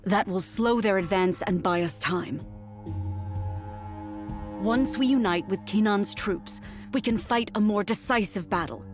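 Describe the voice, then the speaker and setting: A woman playing a composed army general in a video game cutscene, formal, measured and authoritative. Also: mid-range voice, music in the background, lightly acted. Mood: resolute.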